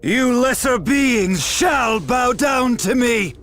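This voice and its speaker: A man's voice: extremely high pitch, lively intonation, slow, a bit bright, shouting, exaggerated voice. A man playing a megalomaniac self-proclaimed god in a fantasy game, with pompous, florid, theatrical delivery and lovesick obsession. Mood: raging, exultant. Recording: voice over music.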